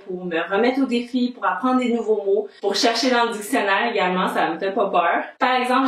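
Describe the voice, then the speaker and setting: Young Québécois woman vlogger, upbeat and chatty, mid-pitched, in a room with audible echo. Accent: Québécois French. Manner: chatty and upbeat, lists her reasons one by one. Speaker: book vlogger. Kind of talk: casual chat to camera. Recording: roomy echo.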